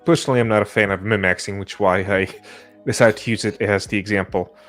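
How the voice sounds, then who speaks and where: A man's voice: fairly low pitch, steady tone, fast, a bit bright, faint music behind. A man hosting a casual discussion, steering the conversation between panelists. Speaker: host moderating a discussion. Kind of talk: casual podcast moderation.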